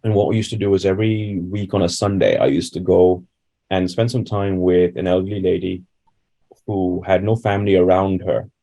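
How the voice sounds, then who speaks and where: A man's voice: deep voice, fairly even intonation, normal speed, creaky, mellow, rough recording. A man from India giving reflective, conversational answers as a doctor being interviewed. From Bangalore, India.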